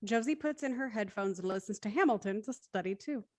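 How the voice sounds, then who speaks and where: A woman reads scripted narration as the narrator of a drama. Her voice is mid-pitched, with a dry, close sound. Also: animated, brisk, a little mellow, dry recording. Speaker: stage-direction narrator. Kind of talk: scripted narration, reading aloud.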